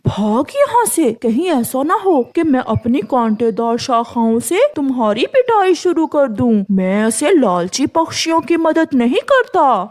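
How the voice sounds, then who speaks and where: A woman voicing a magical talking tree in a cartoon story, kindly but firm, speaking with measured authority. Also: sits high, very animated, a little mellow. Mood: stern. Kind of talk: cartoon moral story dialogue.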